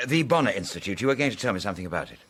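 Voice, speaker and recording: A man acting in a British radio drama as a polite, measured amateur detective. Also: lowish voice, sing-song, a bit bright, breathy edge, heavily acted. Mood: thoughtful. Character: gentlemanly, concerned male amateur detective.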